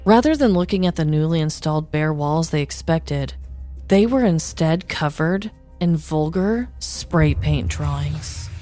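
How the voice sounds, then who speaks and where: A woman's voice: sits low, lively intonation, unhurried, mellow, soft background music. A woman podcast host telling scripted true-crime stories with dramatic, tongue-in-cheek delivery, like a comedic narrator.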